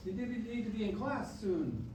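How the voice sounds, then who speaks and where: A man's voice: high voice, animated, moderate pace, a little mellow, a little hiss or hum. A man playing a blunt, wry and protective grandfather in a staged reading of a musical. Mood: wry, firm. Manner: blunt, scolding, folksy. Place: echoey room, staged reading. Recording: distant echoey stage mic, piano behind.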